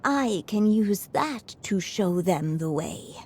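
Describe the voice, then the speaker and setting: A woman voicing a gentle, wise mother who is a writer, speaking softly and at times half-whispering. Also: mid-range voice, animated, a little hiss or hum. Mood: tender. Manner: soft, unhurried, often drifting into a whisper. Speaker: storyteller mother. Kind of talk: video game voice acting.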